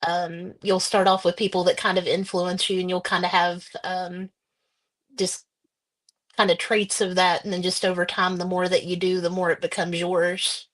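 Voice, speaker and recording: A woman's voice: fairly low pitch, level tone, moderate pace. A woman guest author answering interview questions in a casual, hesitant way. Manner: hesitant, many ums.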